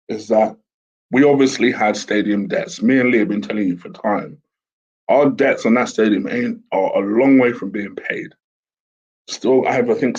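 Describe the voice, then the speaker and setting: Host, male, croaky and husky from a sore throat, low-ish voice, chatty and rambling, dull lo-fi sound. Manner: chatty, rambling with fillers and restarts. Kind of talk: casual solo commentary. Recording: dull lo-fi home recording with fan.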